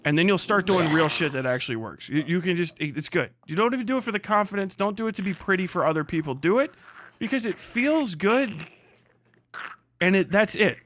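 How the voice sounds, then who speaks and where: A man's voice: high voice, animated, brisk, fairly bright tone, dry recording. A man on a casual livestream rant, blunt and hectoring, swearing heavily as he speaks. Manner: mocks others in put-on voices. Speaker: livestream host.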